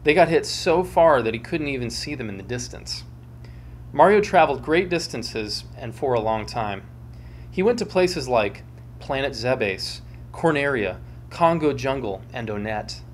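A man in his twenties hosting a dramatic comic reading in a theatrical, mock-serious manner with deliberate enunciation. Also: medium pitch, expressive. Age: 20s. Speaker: show host and reader. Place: small home room with bookshelves, indoors. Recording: clear background hum and noise.

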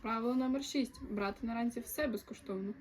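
A woman's voice: fairly high pitch, quick pace. A woman presenting a comic camp video, reading out numbered rules in a scripted announcing style. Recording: echoey, distant, loud crowd noise behind.

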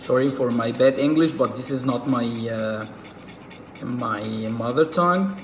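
A man from Egypt with an Arabic accent, whose first language is Arabic. He speaks in a casual, halting way with many fillers, demonstrating something at a table indoors. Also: medium pitch, reverberant, soft background music. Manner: halting, with frequent fillers and restarts. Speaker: reptile keeper.

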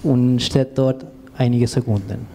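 A man's voice: medium pitch, fairly even intonation, a little slow, mellow, slight background noise. A man in his thirties from Chile, a native Spanish speaker with a Chilean accent, coaching an audience informally in simple, direct non-native phrasing. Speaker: co-presenter, exercise coach. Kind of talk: informal stage talk with guided exercise. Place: indoor event room with seated audience. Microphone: handheld microphone.

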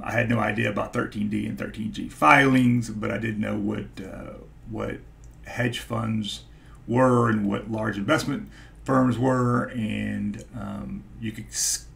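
Gravelly-voiced man with a halting stutter. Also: fairly low pitch, fairly bright tone. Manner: halting, searching for words. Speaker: YouTube host, stock investor. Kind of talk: casual vlog talk to camera. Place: small home office, indoors.

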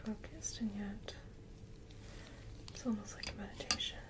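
A woman playing a gentle, attentive dental hygienist in a soft-spoken ASMR roleplay, breathy and whispery, unhurried and reassuring. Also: mid-range voice, fairly even intonation, lightly acted, rough recording. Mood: calm, musing.

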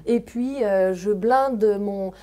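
A woman's voice: mid-range voice, dark tone. A woman in her thirties hosting a studio interview, asking questions with frequent hesitations. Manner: brisk questioning with filler hesitations. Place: TV studio set. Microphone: handheld mic.

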